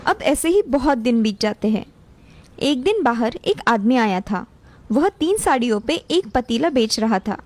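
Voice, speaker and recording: A woman's voice: on the high side, very animated, a little slow, no room sound. A woman narrator reading a scripted moral story for a cartoon. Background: music.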